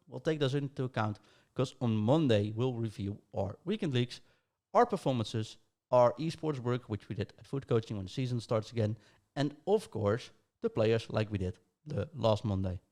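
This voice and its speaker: A man's voice: lowish voice, darkish tone. A man with a Dutch accent hosting a podcast, laying out show plans in a rambling, casual way with many fillers. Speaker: podcast host.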